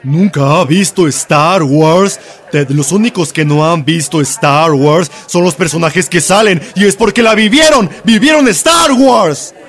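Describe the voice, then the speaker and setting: A man's voice: high-pitched, measured pace, fairly bright tone. A man with a Latin American accent playing an earnest, meddling, dramatic best friend in a comedy fan dub. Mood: incredulous. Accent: Latin American Spanish.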